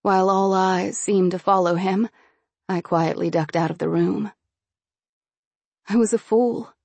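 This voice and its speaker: A woman narrating a first-person novel in a measured storytelling manner. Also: medium pitch, steady tone, creaky, darkish tone, breathy edge, no echo. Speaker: audiobook narrator.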